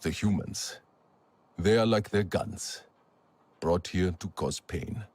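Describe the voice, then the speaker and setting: An actor playing a battle-hardened, proud alien clan warrior in a game cutscene, husky and grave, with formal phrasing. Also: male voice, low-pitched, slow, a little mellow, no room sound, heavily acted. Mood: stern, bitter. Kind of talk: dramatic game cutscene acting.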